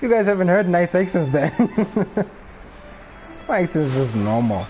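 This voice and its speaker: A man's voice: high-pitched, very animated, measured pace, darkish tone, faint music behind, low-quality recording. A man from Botswana with a Botswana English accent, chatting casually and laughing often while live-streaming a game. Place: home room during a rainstorm. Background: faint rain and ambient noise.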